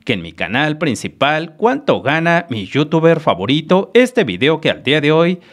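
A man's voice: fairly high pitch, normal speed, no room sound. Guy from mexico going thru youtube money numbers, bouncy tone, dead dry sound. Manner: lively explanatory, repeats "mis queridos amigos". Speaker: YouTube channel analyst host. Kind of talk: screen-recorded earnings analysis voice-over.

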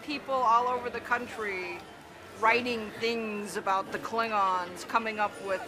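A woman giving an earnest, deliberate interview answer as a fan. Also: on the high side, steady tone. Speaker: convention fan. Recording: noisy convention hall.

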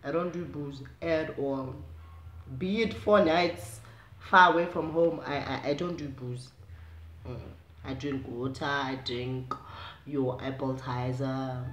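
A young South African woman with a low voice, chatting casually to the camera in a small room at home, animated and chatty. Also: slow. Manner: chatty, animated, gestures while talking. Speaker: vlogger. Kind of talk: casual direct-to-camera chat. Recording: echoey room.

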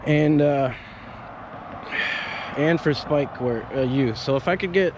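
Casual arborist, 30s, male, slightly higher voice, stop-start rambling delivery, outdoor action-cam sound with a faint ambient bed. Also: background noise. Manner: rambling, halting, many fillers and restarts. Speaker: arborist reviewing gear. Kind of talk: casual gear review vlog. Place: up a tree outdoors in winter. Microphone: head-mounted action camera.

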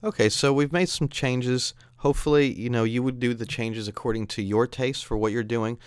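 A man narrating a software tutorial as an instructor, explaining in a conversational way. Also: mid-range voice, fairly even intonation, normal speed, background noise. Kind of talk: tutorial narration over screen demo.

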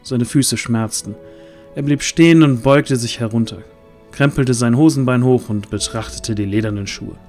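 A man's voice: medium pitch, moderate pace, a little mellow, faint music behind. A man narrating a scripted fantasy audio drama in a dramatic storytelling style.

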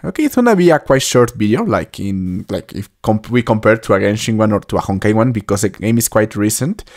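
A man in his twenties giving casual reaction commentary into a desk microphone, with slightly halting, repetitive phrasing. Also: fairly low pitch, expressive, darkish tone. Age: 20s. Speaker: reaction video host. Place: small indoor room at a desk. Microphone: desktop microphone up close.

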